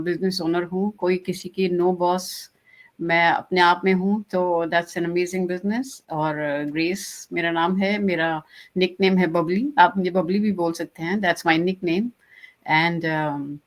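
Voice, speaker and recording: A woman from India, introducing herself on a video call with some hesitant fillers. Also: lowish voice. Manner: hesitant with fillers, then rapid in Hindi. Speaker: guest. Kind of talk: casual self-introduction.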